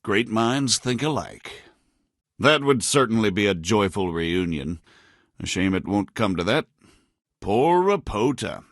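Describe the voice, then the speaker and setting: Casting: haughty medieval baron gone crooked, game dialogue; lower register, lively swings in pitch, formal and sardonic. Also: male voice, measured pace, no echo, clean studio sound, full character voice. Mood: wry, defiant. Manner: haughty, wry, condescending, speaks formally. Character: proud, roguish medieval knight-baron turned counterfeiter. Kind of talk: video game character dialogue.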